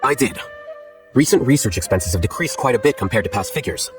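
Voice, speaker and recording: A composed, blunt scholar with official authority, played by a man in a video game. His voice has a wide, lively pitch range and sits over faint music. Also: a little fast, lightly acted. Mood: cool, matter-of-fact. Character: calm, blunt male scholar and acting official.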